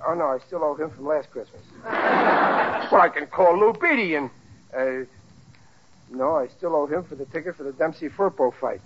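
A man's voice: fairly high pitch, expressive, moderate pace, long pauses, a bit bright, a little hiss or hum. An actor playing a stubborn, easily flustered working-class family man, in a 1940s radio sitcom. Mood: flustered, scheming. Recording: dull vintage radio recording.

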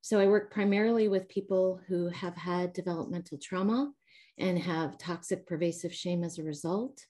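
An American woman with a Midwestern accent tinged with Southern, speaking in a lowish, mellow voice. She explains things calmly and at length, with the odd "um" and "you know", as a guest joining over a video call. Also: normal speed. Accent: Midwestern mixed with Southern US. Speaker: trauma recovery coach, guest. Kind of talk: explanatory interview talk. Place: home, calling in remotely.

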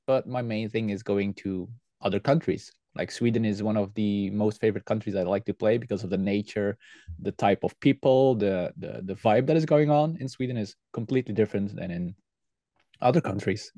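A man's voice: on the low side, brisk, dark tone, no echo. A man from Belgium, answering interview questions as a podcast guest in an earnest, explanatory way. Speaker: podcast guest, airsoft team leader.

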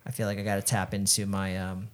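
Laid-back man with a lowish voice. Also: flat delivery, fast talker. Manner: laid-back, many fillers. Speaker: podcast co-host, photographer. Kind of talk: casual chat.

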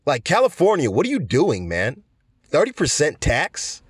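A man's voice: fairly high pitch, wildly swooping pitch, moderate pace, husky, dry recording, pitched above the performer's own voice, heavily acted. A rambling, laid-back aging stoner, put on by a man, drawling his words and tacking "man" onto everything. The sound is dry and close, with no room around it. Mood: mellow griping. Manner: drawling, peppered with "man" and "bro".

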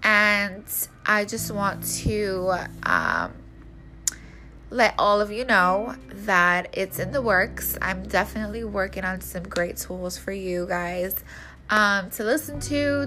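A woman's voice: medium pitch, slow, bright, forceful tone, faint music behind. A woman podcast host with a congested, nasal voice, chatting casually and in slang straight to her listeners. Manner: informal, slangy, addresses listeners directly. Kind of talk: casual solo podcast promo chat.

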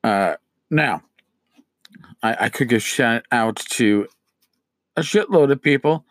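A middle-aged man hosting a casual solo podcast, his voice scratchy as he loses it, rambling with many pauses and restarts. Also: mid-range voice, lively intonation, no echo, clean studio sound. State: losing his voice, scratchy throat. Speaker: podcast host.

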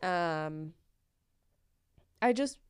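A woman's voice: on the low side, expressive, drawn-out words, smooth, no echo, clean studio sound. A young adult woman co-hosting and producing a podcast, chatty and casual with lots of "like". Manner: chatty, uses "like" a lot, laughs easily.

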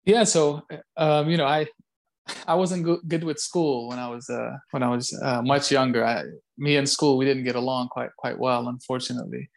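A man from Belgium speaking as an interview guest, casual and halting, often repeating words as he goes. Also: medium pitch, little pitch movement, vocal fry. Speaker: interview guest, call center site director.

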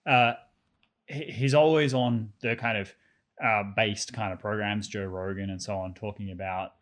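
A man co-hosting a casual discussion, earnest and argumentative, often restarting his words, his voice slightly croaky. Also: fairly low pitch, fairly even intonation, moderate pace, fairly bright tone. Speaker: podcast co-host.

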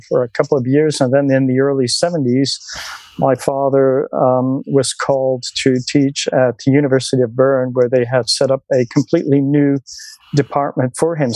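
A man's voice: mid-range voice, a little mellow, no room sound, rough recording. Swiss guy in his 60s, lots of ums and restarts, laughs easily, dry close sound. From Switzerland. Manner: hesitant, many ums and restarts. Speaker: guest. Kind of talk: podcast interview.

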